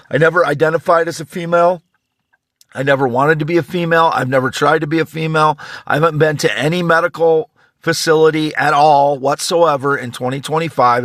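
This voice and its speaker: A middle-aged man with a slight rasp, giving a halting, repetitive, insistent monologue as he vlogs from a pickup truck. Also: a little high, a bit bright, no room sound, studio quality. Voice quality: slightly raspy. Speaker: vlogger. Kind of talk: rambling monologue to camera.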